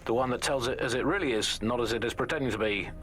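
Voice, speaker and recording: A man's voice: medium pitch, fast, fairly bright tone, natural voice. A man with a British accent playing a wry pirate radio host, bantering sarcastically with mock enthusiasm and stammering restarts. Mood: dryly sardonic. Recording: faint background noise.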